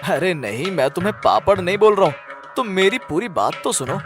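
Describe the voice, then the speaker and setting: A man's voice: sits high, expressive, fairly quick, music in the background. A man voicing a good-humoured, affectionate village farmer and hawker in a cartoon story, coaxing and reassuring. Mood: pleading, coaxing.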